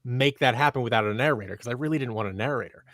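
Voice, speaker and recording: A man's voice: mid-range voice, rapid-fire, a bit bright, dry recording, clean studio sound. A man in his thirties chatting casually as an interview guest, animatedly acting out bits of imagined dialogue. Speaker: guest, audio drama creator. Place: small office, indoors, on a video call. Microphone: close boom-arm microphone, headphones.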